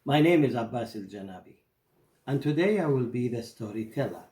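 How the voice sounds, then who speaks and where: A middle-aged man from Iraq, a native Arabic speaker with an Iraqi accent, storytelling and explaining in deliberate, expressive, halting phrases. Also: medium pitch. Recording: roomy echo.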